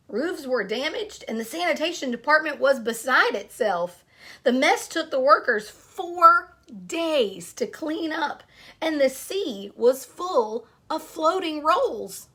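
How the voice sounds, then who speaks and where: High-voiced woman reading slowly to children. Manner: expressive, addresses young listeners with questions. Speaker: storyteller reading aloud to children. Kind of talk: children's picture book read-aloud with asides.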